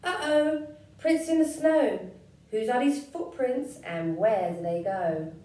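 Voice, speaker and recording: A woman voicing a curious young female monster cub who exclaims in a high, bold voice. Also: lively intonation, takes it slowly, warm tone. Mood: wary excitement. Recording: echoey room, far from mic.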